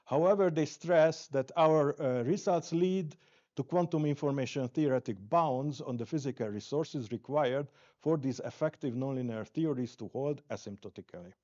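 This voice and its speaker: A man with a Hungarian accent presents at a scientific conference. His voice is soft and mellow, and his delivery is halting and full of pauses. The lecture recording sounds dull and lo-fi. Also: mid-range voice. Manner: halting, heavy with hesitations. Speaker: invited lecturer.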